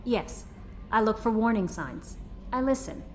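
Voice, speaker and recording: A woman's voice: a little high, expressive, a little slow, darkish tone, a little hiss or hum. A woman playing an earnest, personable young ship's aide in a video game. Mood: friendly. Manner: eager, personable.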